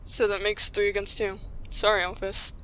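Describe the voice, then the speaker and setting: A woman plays a headstrong, determined child in an audio drama. The voice is bright and forceful at a medium pitch, with faint noise behind it. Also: flat delivery, normal speed, close to an everyday voice, poor audio. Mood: confident, insistent. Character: determined, impatient, headstrong young human child.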